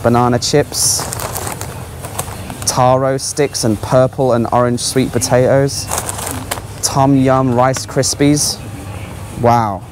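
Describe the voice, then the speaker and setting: A man's voice: medium pitch, little pitch movement, takes it slowly, pauses often, background noise. A man giving casual, offhand, exclaiming commentary as a travel vlogger walking around a supermarket. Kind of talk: casual running vlog commentary. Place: large supermarket aisles.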